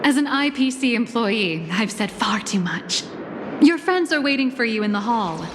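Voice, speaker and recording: A woman playing a knowing, subtly cryptic corporate agent in a video game, formal and measured. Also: a little high, expressive, noisy background, lightly acted. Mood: knowing, composed.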